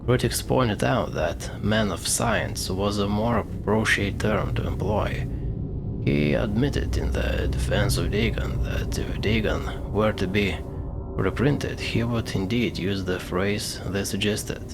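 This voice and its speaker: A man reading informational notes aloud during a game playthrough, in a measured, deliberate way. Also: on the low side, creaky, noisy background. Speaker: gaming video host.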